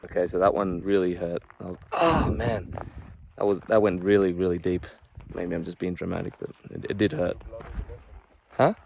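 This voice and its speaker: A man's voice: sits low, moderate pace, gravelly, a little mellow, slight background noise. A man hosting a vlog, speaking reflectively to camera while thinking aloud. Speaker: vlog host.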